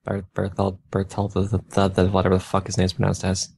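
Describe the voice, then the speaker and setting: A man's voice: low-pitched, monotone, quick pace, gravelly, darkish tone, slight background noise. A man reading fan fiction aloud, stumbling over odd words and breaking off with casual joking comments. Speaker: reader of fan fiction on a gaming video.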